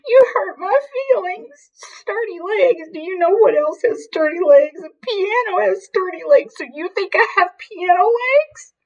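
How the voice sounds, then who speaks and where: Oversensitive female hippo for a storybook: high, melodramatic, tearful, wounded wailing. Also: expressive, measured pace, hardly any pauses, a little mellow, highs cut off, full character voice. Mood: tearful, indignant.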